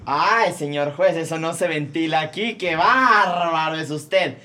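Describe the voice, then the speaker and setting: A man's voice: fairly high pitch, a little slow, sharp tone. A man playing a sly, fawning male court secretary in a Mexican radio comedy sketch.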